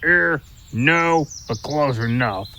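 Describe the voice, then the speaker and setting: A man's voice: a little high, slow, pushed and bright, lo-fi sound, full character voice. A man voicing a sly, mean, gossiping squirrel in an audio drama, flippant and boastful as he tells his tales. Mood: breezy, gossipy. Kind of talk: audio drama character acting.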